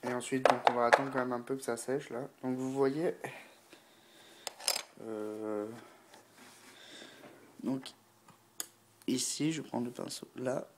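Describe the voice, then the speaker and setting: A man's voice: medium pitch, fairly even intonation, slow, pauses often, heavy background noise. A man with a stuffy, blocked nose from a cold, unhurriedly talking through a painting tutorial and thinking aloud with many fillers. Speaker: miniature painting hobbyist.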